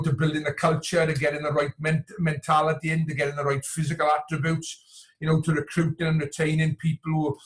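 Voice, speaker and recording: A man from Wales speaking as a coach in a conversational interview, often circling back and repeating words with plenty of "you know". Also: fairly high pitch. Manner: hesitant, repetitive, frequent "you know". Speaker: coach and entrepreneur, podcast guest.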